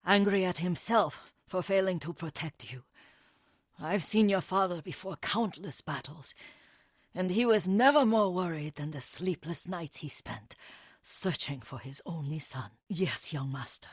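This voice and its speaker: A woman playing an elderly, devoted Japanese family caretaker in a video game, gentle and deferential, with a soft, aged voice and a nostalgic temper. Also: lowish voice, husky, no room sound, studio quality. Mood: solemn. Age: older. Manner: gentle, deferential, unhurried, reminiscing. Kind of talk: dramatic video game acting.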